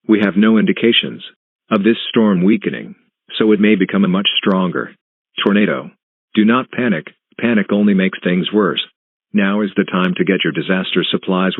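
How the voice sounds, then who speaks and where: Through a narrow, phone-like band comes a deep-voiced man reading scripted emergency weather warnings. He is formal and deliberate, setting out each phrase in measured fashion. The sound is dry, with no room around the voice. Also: no room sound. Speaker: emergency alert announcer.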